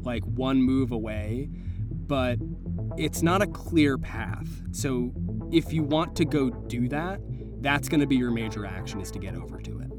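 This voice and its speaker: A man's voice: mid-range voice, animated, measured pace. A man narrating an improvised tabletop game as game master, casual and vivid in his describing. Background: music.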